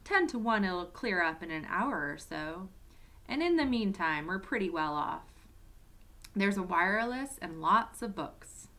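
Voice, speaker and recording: A woman's voice: fairly low pitch, animated, a little slow, sharp tone, heavily acted. A woman acts out a sensible older sister from a storybook, a schoolgirl with a motherly streak. She sounds proper and emphatic, chiding the younger ones.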